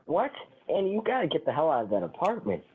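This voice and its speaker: A man's voice: a little high, huge pitch swings, quick pace, husky, heavily acted. A man playing a guarded, dryly sardonic but protective man in an amateur sitcom table read. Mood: concerned. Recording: tinny phone-like line.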